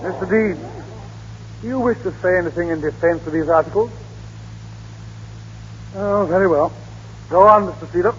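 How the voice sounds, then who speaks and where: An actor playing a stern, exasperated judge in an old radio drama, speaking with formal authority. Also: male voice, high-pitched, moderate pace, long pauses, lightly acted. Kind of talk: 1930s-40s radio drama acting. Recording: echoey, muffled, noisy old radio broadcast.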